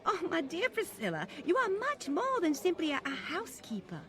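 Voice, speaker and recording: A high, fluttery voice for a genteel grandmother of means, acted by a woman. She is prim and condescending, wrapped in affected politeness and easily flustered. The delivery is old-time radio drama. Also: wildly swooping pitch, normal speed, a bit bright, exaggerated voice. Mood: gushing, patronizing. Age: older.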